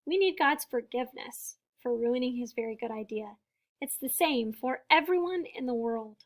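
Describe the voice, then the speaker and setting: High-voiced woman reading to children. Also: animated. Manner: deliberate, emphatic phrasing with explanatory asides to young listeners. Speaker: storybook reader and teacher. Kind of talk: children's picture book read-aloud.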